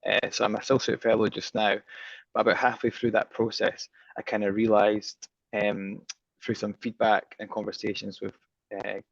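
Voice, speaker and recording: Gravelly low man on a muffled call. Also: flat delivery, moderate pace, no room sound. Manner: casual, self-deprecating. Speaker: participant. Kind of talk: video call comment. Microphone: video call. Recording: muffled video call audio.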